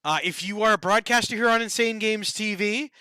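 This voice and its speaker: A man's voice: sits high, sharp tone, slight background noise. A man co-hosting a gaming podcast, chatting casually in a rambling, playful way. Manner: rambling, playful, quick to laugh. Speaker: podcast co-host.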